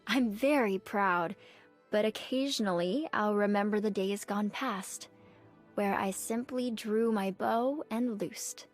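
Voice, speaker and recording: A woman voicing an earnest, dutiful young nun archer in a video game, speaking politely and formally in measured phrases. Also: medium pitch, lightly acted. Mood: proud, reflective. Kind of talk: scripted game character voice lines. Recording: clean dry studio, music behind.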